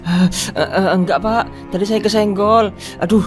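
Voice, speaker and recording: A young village worker, as a woman voices him, mid-pitched and plain-spoken, grumbling sarcastically under his breath and arguing back. A cartoon drama with music running underneath. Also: steady tone, normal speed, lightly acted. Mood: indignant. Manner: mutters sarcastic asides, talks back. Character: young village dishwasher, cheeky and outspoken.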